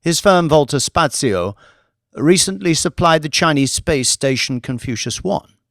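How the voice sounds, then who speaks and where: Male narrator as a scheming banker, measured, persuasive, slow, dry. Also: a little high, lively intonation, fairly bright tone, dry recording, voice pushed up. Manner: measured, leading.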